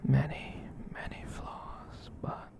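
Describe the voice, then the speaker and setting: A performer voicing a gentle, kind giant catboy in a soft breathy whisper, rambling reassuringly in an ASMR roleplay. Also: close to an everyday voice. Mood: soothing, confiding. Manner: rambling, reassuring, slow with long pauses.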